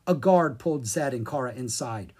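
A woman narrates a children's storybook in a low, slightly raspy voice. Her delivery is expressive and lively, with pitch that moves freely through the sentences. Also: normal speed. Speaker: storyteller reading a children's book.

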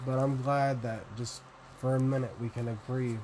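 A young man with a deep voice, rambling through a solo vlog, self-deprecating and swearing casually. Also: little pitch movement, normal speed, darkish tone, a little hiss or hum, rough recording. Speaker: podcaster and vlogger.

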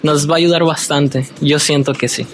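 A man's voice: fairly high pitch, steady tone, normal speed, music in the background. A man in his early twenties with a Mexican accent, a student athlete giving casual answers as an interview guest. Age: early 20s.

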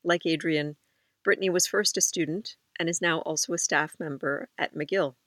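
A host reading scripted narration in a clear, even manner between interview clips. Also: mid-range voice, moderate pace, no room sound.

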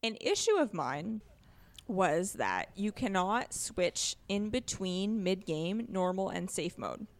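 A woman's voice: medium pitch, big pitch swings, slow pace. Candid woman chatting about video games. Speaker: podcast guest co-host. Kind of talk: casual video game discussion.

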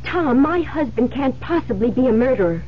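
An actress playing a newlywed bride, shaken and disbelieving, in halting old-time radio drama style. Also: female voice, high-pitched, animated. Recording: muffled vintage radio recording with hiss.

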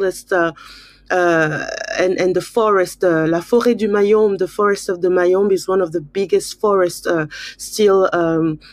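A woman's voice: mid-range voice, a little mellow, dry recording. A middle-aged woman from Cameroon talking reflectively and candidly about her own life as an interview guest. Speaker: interview guest, school principal. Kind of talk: conversational interview, personal recollection.

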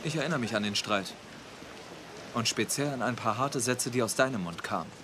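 A man's voice: medium pitch, a little fast, noisy background, lightly acted. An actor playing a blunt, loyal young man, a protective older brother, in dramatic dialogue. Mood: dry, blunt. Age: young adult. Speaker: actor in an audio drama or dubbed series. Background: loud music.

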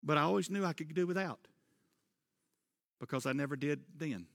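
High, bright-voiced pastor preaching. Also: male voice, normal speed, creaky. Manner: preaching with emphatic rises, loud repeated refrains. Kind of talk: sermon. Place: church sanctuary. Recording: long church reverb.

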